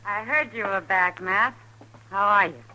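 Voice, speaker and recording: A woman's voice: mid-range voice, unhurried, pushed and bright, low-quality recording. An actress playing a familiar, teasing, slightly tipsy saloon woman of the frontier in an old radio western. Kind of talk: 1950s radio western acting. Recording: old radio broadcast, hum and hiss.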